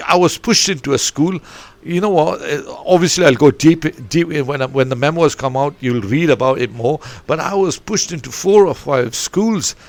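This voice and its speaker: A man's voice: a little high, normal speed. A man in his forties who grew up in Eswatini and works as a football coach, talking in a rambling, digressive way with many restarts. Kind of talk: rambling podcast monologue.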